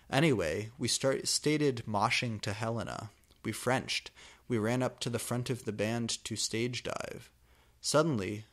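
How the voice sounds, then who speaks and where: A man's voice: lowish voice, a bit bright. A man hosting a reading of amateur fan fiction, pronouncing every typo and abbreviation exactly as written. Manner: deliberately reads typos and abbreviations literally.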